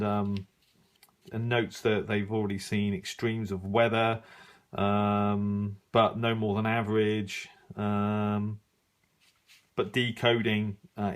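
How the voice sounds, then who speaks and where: A middle-aged man giving a casual, unscripted review, musing and halting as he thinks aloud while browsing. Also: lowish voice. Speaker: radio hobbyist reviewing an old magazine.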